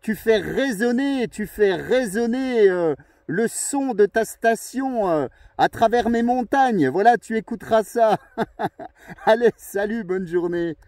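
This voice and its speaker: A man's voice: sits high, takes it slowly, a little mellow, dry recording. A man chatting casually and hesitantly as a radio operator filming himself outdoors, friendly and rambling. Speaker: amateur radio operator filming his contact. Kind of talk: casual radio chat and outdoor vlog narration. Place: outdoors on a windy mountain valley spot. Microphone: handheld phone or camera microphone.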